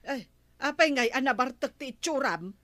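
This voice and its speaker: An actress with an Ilocano Filipino accent playing a bossy, inquisitive wife of a village captain in a radio comedy drama. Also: female voice, sits high, animated, normal speed, a bit bright, no echo. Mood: interrogating.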